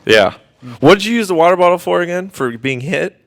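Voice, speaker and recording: A man's voice: sits high, expressive, fairly quick, creaky. A young man hosting student radio in casual, laid-back, rambling chat with some hesitation. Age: young adult. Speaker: student radio host and interviewer. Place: radio studio. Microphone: studio microphone.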